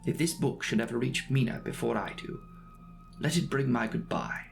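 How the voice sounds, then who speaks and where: A man narrating a classic novel as a dramatic audiobook, with measured, formal, theatrical phrasing. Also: mid-range voice, lively intonation, vocal fry, soft background music.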